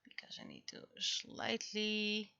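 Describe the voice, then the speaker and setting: A woman chatting casually on a livestream as she works, thinking aloud in a halting way and talking half to herself. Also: mid-range voice, wildly swooping pitch, gravelly, sharp tone, clear, resonant tone, no room sound, highs cut off. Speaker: livestreaming webcomic artist. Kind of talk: casual livestream chat while working.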